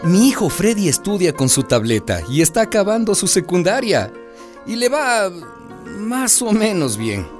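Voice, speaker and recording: Animated, concerned parent, played by an actress. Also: female voice, medium pitch, normal speed, music in the background, natural voice. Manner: conversational, earnest. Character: concerned, outspoken parent narrating neighborhood troubles. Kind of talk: radio drama acting and narration.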